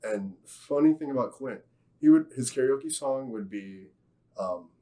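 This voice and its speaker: A man's voice: medium pitch, animated, a little slow, mellow. A young man telling an anecdote casually, in a chatty, jokey way. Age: young adult. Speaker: student guest, fiction genre editor.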